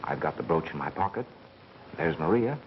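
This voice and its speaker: An American actor playing a brisk, bossy amateur sleuth with a wry edge, in 1930s film style. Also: male voice, extremely low pitch, expressive. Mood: probing, wry. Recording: dull, noisy vintage film soundtrack.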